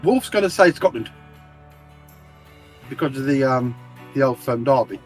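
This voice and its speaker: A man's voice: medium pitch, expressive, unhurried, faint music behind. A man streaming a game, chatting casually with friends in a rambling, stammering way and swearing often. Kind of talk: casual voice chat while gaming.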